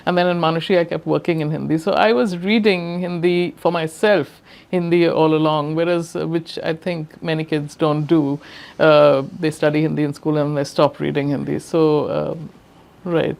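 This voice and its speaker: An older woman speaking English with an Indian accent, her voice sitting a little low. She talks as an interview guest in a reflective, conversational way. She is emphatic, often restarts her phrases and laughs softly. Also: fairly even intonation, moderate pace, gravelly. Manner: thoughtful, emphatic, frequent restarts and soft laughs. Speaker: interview guest, professor emerita.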